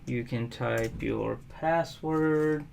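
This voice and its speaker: Slow, easygoing middle-aged man. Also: medium pitch, vocal fry, slight background noise. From South Carolina, USA. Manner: relaxed, unhurried, frequent ums and uhs. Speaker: WordPress tutorial host. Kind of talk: casual screen-recorded software tutorial. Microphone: webcam overlay at desk.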